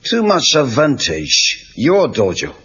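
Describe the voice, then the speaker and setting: An actor playing a wry, calm old Okinawan karate master with a Japanese accent, speaking terse, clipped broken English in a husky voice. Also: male voice, mid-range voice, slow, exaggerated voice. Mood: firm. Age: older. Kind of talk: film dialogue. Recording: dull lo-fi cassette tape.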